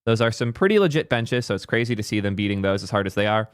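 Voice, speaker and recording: A young man hosting a casual tech video, excitable, restarting lines and swearing now and then, his voice a little hoarse. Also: on the low side, fast talker, dry recording, studio quality. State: voice a bit hoarse, clearing throat. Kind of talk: casual tech commentary to camera.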